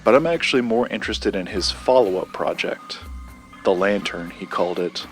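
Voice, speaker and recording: A man acting as a secretive collector tells a dark local legend in an audio drama. The voice is low and male, deliberate and measured, with pauses for effect. Music plays underneath. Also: animated. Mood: grave, mysterious.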